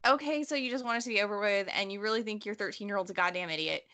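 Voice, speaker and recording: A woman's voice: mid-range voice, very fast, bright, forceful tone. A woman co-hosting a casual book discussion, opinionated and emphatic, swearing freely. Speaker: podcast host.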